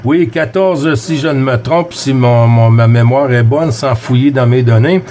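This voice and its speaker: A man's voice: medium pitch, a little slow, darkish tone. A man from Quebec with a Quebec French accent, chatting casually as a CB operator and repeating his phrases. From Quebec, Canada. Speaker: CB radio operator. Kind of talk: casual radio chat.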